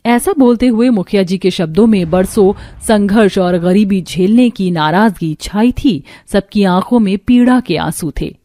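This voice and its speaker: A woman's voice: mid-range voice, moderate pace, hardly any pauses, mellow, no echo. Woman narrating a cartoon story.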